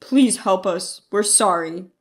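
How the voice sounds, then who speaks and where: A woman voicing a middle-aged father in a narrated story, heavy, gruff and desperate. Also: mid-range voice, takes it slowly, pitched below the performer's own voice, natural voice. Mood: desperate begging.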